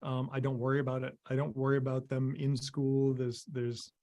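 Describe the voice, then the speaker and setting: A middle-aged man from Maine, a guest on a video call, speaking in halting, casual sentences full of ums and restarts. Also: medium pitch, monotone, mellow. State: losing his voice, clearing throat. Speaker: interview guest, visual effects artist.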